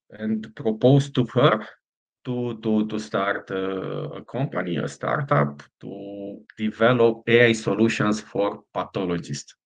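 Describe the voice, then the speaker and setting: A middle-aged man from Romania with a Romanian accent, a startup founder answering interview questions in halting speech full of fillers and restarts. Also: on the low side, gravelly.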